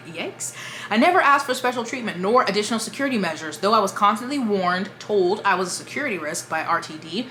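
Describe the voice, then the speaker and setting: Book channel host, woman, reads a statement aloud at a quick clip, roomy echo. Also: mid-range voice, slight background noise. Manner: brisk, steady read-aloud delivery. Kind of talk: reading a written statement aloud.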